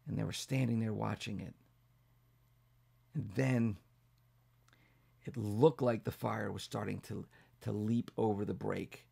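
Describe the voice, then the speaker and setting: Very gravelly, husky male storyteller. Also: on the low side, steady tone, unhurried, long pauses. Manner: dramatic, repetitive build-up, hushed to emphatic. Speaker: pastor and storyteller. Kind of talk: children's bedtime storytelling.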